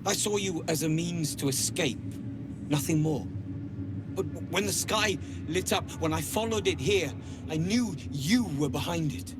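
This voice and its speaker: An actor playing a proud, ambitious gnome leader in a video game, speaking in a formal, declamatory way. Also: male voice, on the high side, animated, a little slow, music in the background. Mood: contrite. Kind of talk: video game character dialogue.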